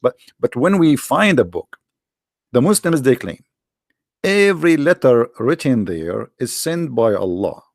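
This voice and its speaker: A man's voice: medium pitch, sing-song, a little slow, gravelly. A man hosting a live online debate, mocking and sarcastic, firing questions at his opponent. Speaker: debate host.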